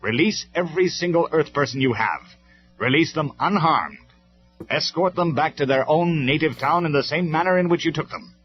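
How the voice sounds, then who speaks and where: A man voicing a stern, commanding male robot leader who speaks loud and measured, on a dull lo-fi recording with faint music. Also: on the high side, expressive, pitched above the performer's own voice, close to an everyday voice.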